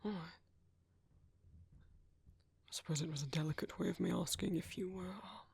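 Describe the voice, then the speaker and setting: A British man playing a gentle, protective vampire priest in an ASMR roleplay, speaking in hushed, hesitant, soothing whispers. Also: sits high, fast, vocal fry, husky, full character voice. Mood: hesitant, apologetic. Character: gentle, soft-spoken male vampire priest, protective caretaker.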